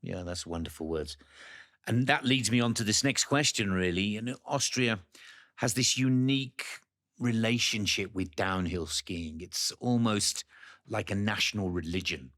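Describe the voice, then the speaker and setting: Low-voiced male interviewer. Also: moderate pace, a bit bright. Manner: friendly, encouraging, asks long questions. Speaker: interviewer host. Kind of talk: podcast interview.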